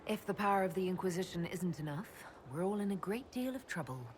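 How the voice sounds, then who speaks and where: An actress playing a composed, inquisitive leader of an order in a video game, earnest and level-headed. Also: female voice, fairly low pitch, animated, fast talker, a little mellow, slight background noise, close to an everyday voice. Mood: composed, curious.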